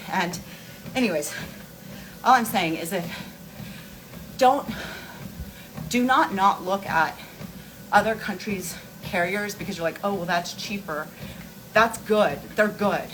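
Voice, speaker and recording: Candid woman chatting over noisy live audio. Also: on the low side. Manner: candid, informal, emphatic with repeated words. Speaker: host of a beauty and wellness live stream. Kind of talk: casual live chat to followers.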